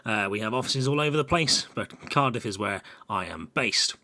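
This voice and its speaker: A young man from Brighton and Hove, an engineer giving a casual, chatty technical presentation with frequent filler words. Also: on the low side, lively intonation, moderate pace. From Brighton and Hove, England. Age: young adult.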